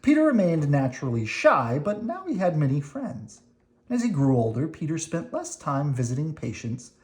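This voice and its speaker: A person reading a children's picture book aloud, expressively and deliberately, with dramatic emphasis and short explanations for young listeners. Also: medium pitch, very animated, a little mellow. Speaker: storybook reader explaining words to children.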